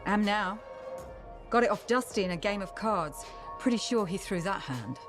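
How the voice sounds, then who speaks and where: An actress voicing a shrewd, streetwise bar owner with a casual, worldly, clipped manner in a video game. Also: female voice, medium pitch, lively intonation, normal speed, lightly acted. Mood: cocky, wry. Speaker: video game character actor. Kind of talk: video game dialogue acting. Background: music.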